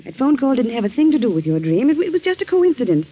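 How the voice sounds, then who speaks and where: An actress playing a concerned, engaged young daughter, earnest and pleading, in 1940s radio drama style. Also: female voice, a little high, big pitch swings, fast, husky. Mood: soothing, pleading. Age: young adult. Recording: dull vintage 1940s radio recording.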